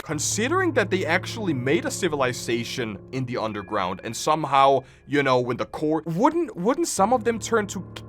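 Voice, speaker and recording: A man with a slightly high voice and very lively intonation gives casual reaction commentary. He jokes, repeats words as he thinks aloud and reads captions out, with music clearly behind him. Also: normal speed. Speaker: host of a reaction video. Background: background music.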